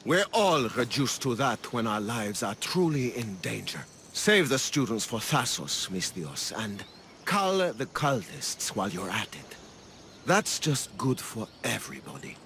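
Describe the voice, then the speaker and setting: An actor playing a panicky, self-serving schemer of ancient Greece in a video game, anxious and pleading. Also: male voice, mid-range voice, very animated, unhurried, bright, forceful tone, slight background noise, full character voice. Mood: wheedling, anxious. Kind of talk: video game character acting.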